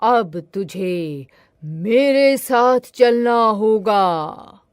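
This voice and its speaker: A woman voicing a stern, menacing male god of death with slow, solemn pronouncements in a cartoon moral tale. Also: medium pitch, lively intonation, clean tone, dry recording, studio quality. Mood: stern, condemning.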